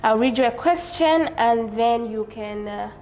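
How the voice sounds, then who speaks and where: A woman from Zambia hosting an interview, asking friendly questions with soft fillers. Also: fairly high pitch, a little slow, soft background music. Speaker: interview host.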